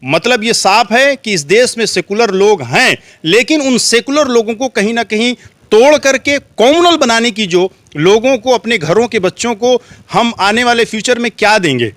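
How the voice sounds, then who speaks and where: A man's voice: very high voice, lively intonation, normal speed, fairly bright tone, no room sound. An Indian man giving earnest political opinions in an interview, speaking emphatically and oratorically, repeating phrases for emphasis. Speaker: street interviewee.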